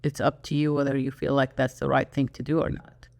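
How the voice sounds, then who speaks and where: An older speaker from Iraq, an Arabic speaker hosting a solo channel monologue, earnest and reflective with pauses. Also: mid-range voice, little pitch movement, vocal fry, a little mellow. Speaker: YouTube channel host.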